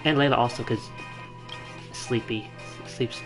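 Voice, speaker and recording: A man giving casual live-stream game commentary, rambling and halting as he thinks aloud. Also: mid-range voice, fairly even intonation. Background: game music.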